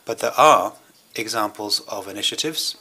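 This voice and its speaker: A man interpreting a German speech into English at a conference, with measured, hesitant phrasing and pauses. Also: lowish voice, sharp tone, breathy edge.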